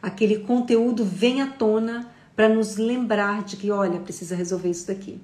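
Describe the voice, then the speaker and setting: A woman's voice: mid-range voice, fairly even intonation, normal speed, darkish tone. A woman giving a conversational live psychology lecture, explaining patiently and using many fillers. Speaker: psychology teacher. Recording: roomy echo.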